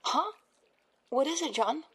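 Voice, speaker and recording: A woman acting an attentive wife in an old-time radio drama, with a husky, breathy voice. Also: sits high, lively intonation, measured pace. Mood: curious.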